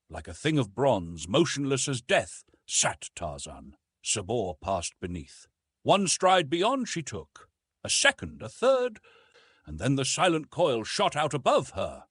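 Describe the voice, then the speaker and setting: A man's voice: mid-range voice, fairly bright tone, breathy edge, dry recording. A man narrating an adventure story from a script in a dramatic, theatrical way, drawing out words for emphasis. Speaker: audiobook narrator.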